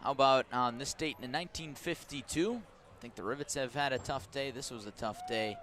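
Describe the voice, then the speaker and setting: A man with an American accent doing conversational live radio baseball play-by-play, mixing clipped pitch calls with storytelling and wry asides. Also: fairly quick, a bit bright. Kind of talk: live radio sports commentary mixed with storytelling.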